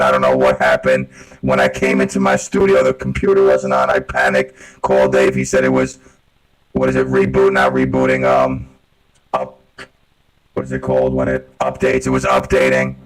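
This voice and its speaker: A man's voice: extremely low pitch, poor audio. A man hosting a casual live radio show in a rambling, flustered, informal way, his voice run through a robot voice changer.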